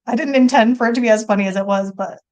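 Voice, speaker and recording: A woman's voice: a little high, very fast, a little mellow. A woman actor chatting casually in a giggly, talkative way on a video call. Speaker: stage actor.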